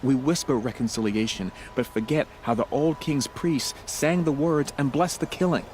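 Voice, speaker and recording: An actor playing a humble, remorseful priest in a video game, speaking in a measured, formal, earnest way. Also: male voice, medium pitch, a little mellow, a little hiss or hum, natural voice. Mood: regretful. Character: solemn, grieving young priest, humble and remorseful.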